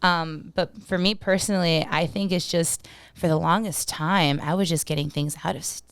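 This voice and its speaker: A young woman from Minnesota with a Minnesotan accent, chatting as a podcast guest, full of fillers and quick laughs. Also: on the low side, fairly even intonation, clear, resonant tone, no room sound. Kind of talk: casual podcast chat.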